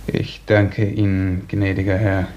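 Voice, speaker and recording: A man's voice: deep voice, a little slow. An actor playing a submissive, broken male serf who answers dutifully, in a 1950s German radio drama. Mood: resigned. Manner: flat, subdued, servile monotone. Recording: dull, muffled 1950s radio recording.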